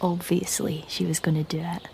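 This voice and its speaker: A woman's voice: on the low side, flat delivery, normal speed, gravelly, mellow, slight background noise. An actress playing a painfully shy, jobless young woman in a naturalistic radio drama, hesitant and stammering, with wry self-deprecation.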